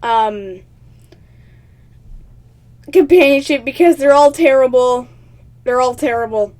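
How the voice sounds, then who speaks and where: A woman host reading a text game aloud with chatty, excitable commentary, stumbling over words and laughing often. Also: sits high, slow pace. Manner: laughs often, talks at herself. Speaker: gaming channel host.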